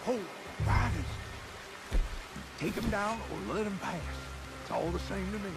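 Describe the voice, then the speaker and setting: Western game trail guide, American: gruff, impatient, high-pitched, terse orders over loud music. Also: male voice, lively intonation, a little slow. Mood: watchful, terse. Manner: barking terse orders, grumbling. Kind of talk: western video game acting.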